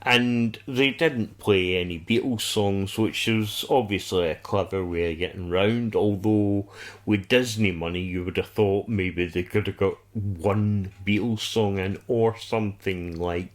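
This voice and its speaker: Slow-paced man with a lowish voice. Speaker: podcast co-host. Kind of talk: casual review chat.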